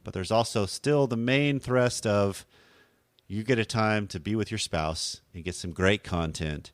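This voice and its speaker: A man with a low, deep and slightly hoarse voice hosts a podcast in an easygoing, rambling way, often stopping to correct himself. The recording is dry and close, with no room sound. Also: unhurried, no echo. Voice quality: deep radio voice. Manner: conversational, rambling, self-correcting. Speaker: podcast host. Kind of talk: casual podcast talk.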